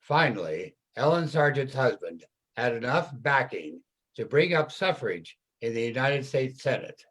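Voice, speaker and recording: A man's voice: medium pitch, big pitch swings, a little slow, a bit bright. A man narrating a scripted historical reader's theater piece.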